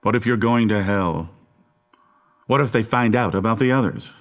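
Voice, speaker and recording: A man's voice: fairly low pitch, moderate pace, close to an everyday voice. An actor playing a cold, manipulative, murderous father in a horror audio drama, menacing and insistent in manner. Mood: scornful, domineering.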